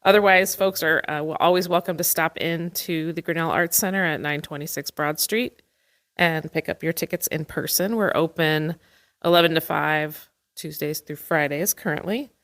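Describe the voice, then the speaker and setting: A woman's voice: low-pitched, fairly even intonation, moderate pace, a bit bright. A woman speaking as an interview guest, giving practical information in a casual, helpful way. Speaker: theater staffer, interview guest.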